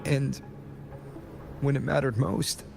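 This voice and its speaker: An actor playing a brooding, guilt-laden young hero of a video game, speaking in a subdued, hesitant, introspective way. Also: male voice, mid-range voice, level tone, slow pace, creaky. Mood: remorseful, subdued. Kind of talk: video game story dialogue acting. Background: game background music.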